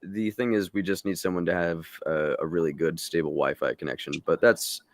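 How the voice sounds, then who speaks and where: A low-voiced man chats casually as a podcast co-host. The sound is dry and close, and he talks like a devoted fan, loose with his swearing. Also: steady tone, brisk, no echo. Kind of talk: casual live podcast chat.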